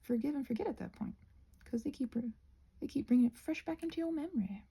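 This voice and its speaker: Soft, breathy, creaky-voiced rambling woman. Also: high-pitched, quick pace. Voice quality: soft, breathy, often dropping to a whisper. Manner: musing aloud, gently reassuring, repeats phrases. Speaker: host of a craft and ASMR ramble channel. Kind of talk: casual rambling ASMR chat while crafting.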